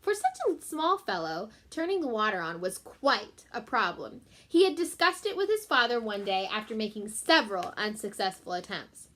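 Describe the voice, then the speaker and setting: A woman teacher reading a children's book aloud in an expressive way, speaking directly to young listeners. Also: mid-range voice, moderate pace, few pauses. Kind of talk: children's book read-aloud with short explanatory asides.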